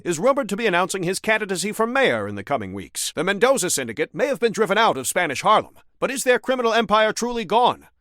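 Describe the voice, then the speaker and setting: A man's voice: high-pitched, a bit bright. A male voice actor playing a sensational old-time American radio newscaster for a video game, with a punchy, theatrical announcing style. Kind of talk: 1940s radio newsreel announcing, scripted.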